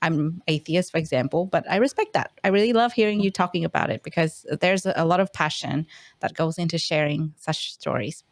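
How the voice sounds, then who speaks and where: Female podcast host, lower voice, friendly and measured, dry close sound. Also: no room sound. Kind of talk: casual interview conversation.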